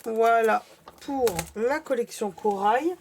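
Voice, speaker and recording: A woman vlogger with a slightly hoarse voice, chatting casually through a crafting haul with many fillers and restarts. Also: a little high, takes it slowly, noisy background.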